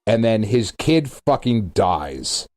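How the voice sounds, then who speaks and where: A man's voice: fairly low pitch, big pitch swings, slow, darkish tone, no room sound, studio quality. Emphatic, sweary man in casual podcast chat. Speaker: podcast co-host. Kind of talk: casual chat about films.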